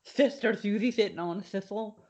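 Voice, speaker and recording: A woman co-hosting a casual podcast chat, teasing her friend with quick reactions. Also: mid-range voice, a little mellow, highs cut off. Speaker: podcast co-host.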